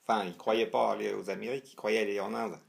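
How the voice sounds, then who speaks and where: A man's voice: lowish voice, steady tone, fast, gravelly. A man in casual conversation with his mother, prompting her and filling in details and dates. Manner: prompting, fills in details, adds history facts. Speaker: son interviewing his mother.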